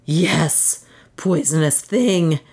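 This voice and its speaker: A woman's voice: extremely low pitch, heavily acted. A woman reading a story, voicing a bitter, enraged young man who denounces his lover in archaic, venomous speech. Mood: furious. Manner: venomous, drawn-out archaic denunciation. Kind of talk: dramatic audiobook storytelling.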